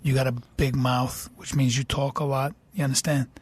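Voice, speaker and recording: A man improvising a menacing middle-aged mob boss, speaking slowly and threateningly. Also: mid-range voice, flat delivery, husky, natural voice. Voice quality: slow, threatening, clipped tough-guy delivery. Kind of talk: improvised comedy bit.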